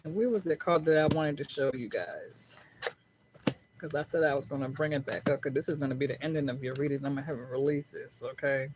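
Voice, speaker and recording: A woman's voice: sits low, steady tone, fairly quick, noisy background. Chatty middle-aged woman reading tarot. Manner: chatty, folksy, swears freely, asks "right?" often; colloquial American speech. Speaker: tarot reader.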